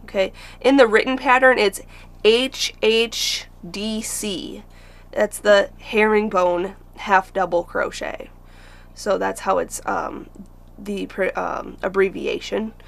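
Female crochet instructor, unhurried and patient, with a gravelly edge to the voice. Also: mid-range voice, steady tone. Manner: patient, counts stitches aloud. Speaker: crochet teacher. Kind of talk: step-by-step crochet tutorial.

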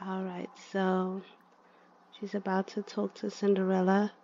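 A woman's voice: medium pitch, monotone, unhurried, gravelly, warm tone. A woman giving chatty, casual commentary on her gameplay and talking to her game characters, in a slightly dull lo-fi recording. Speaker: gaming video creator.

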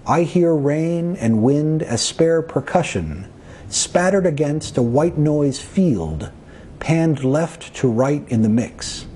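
A man reading his own poems as a poet, with measured, deliberate phrasing and pauses between short phrases. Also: a little high, lively intonation, dark tone, slight background noise. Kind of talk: poetry reading of own work.

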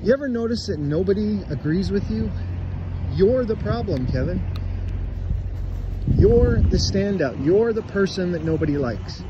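A man's voice: sits high, lively intonation, unhurried. A man walking his dog outside, ranting sarcastically into his phone in a casual vlog. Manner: sarcastic, taunting. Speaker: vlogger. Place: outdoors on a grassy roadside in winter. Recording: lo-fi phone audio with outdoor traffic noise.

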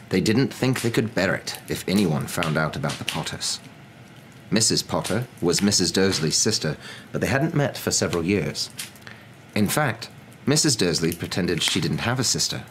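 Very deep-voiced young man reading a story. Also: rough recording. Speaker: storybook narrator. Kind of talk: expressive audiobook-style reading for ASMR. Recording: clear steady background ambience noise.

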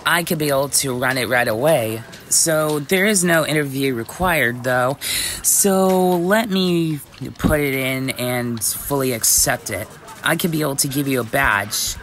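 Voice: medium pitch, fairly bright tone. A performer with a woman-like voice acting out an easygoing, dutiful werewolf police chief in scripted ASMR roleplay.

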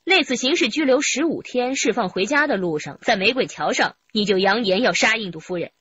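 Pointed female interrogator, lively intonation, firm, radio drama, slightly dull recording. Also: a little high, a little fast, breathy edge. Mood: stern. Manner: pointed, stern. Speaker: actor in police radio drama.

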